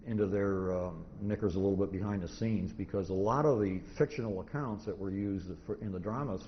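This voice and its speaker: A middle-aged American man with a fairly low voice gives an informal talk, picking through his points haltingly and methodically. He tells it as anecdote. The recording is somewhat dull, with noise behind him. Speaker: presenter, old-time radio collector. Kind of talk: informal convention lecture. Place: convention presentation room. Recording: dull, noisy live room recording.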